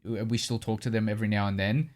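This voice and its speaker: A man's voice: lowish voice, steady tone, fast. A man in his thirties, co-host of a reaction channel, chatting in an easygoing, rambling way. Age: 30s. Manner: easygoing, chatty, with restarts and laughs. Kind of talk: casual chat.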